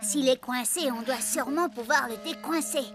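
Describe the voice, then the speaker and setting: A woman's voice: sits high, moderate pace, close to an everyday voice. A woman voicing a timid, polite young boy squire, a small cartoon animal, sincere and childlike. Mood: polite, helpful. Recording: music clearly behind the voice.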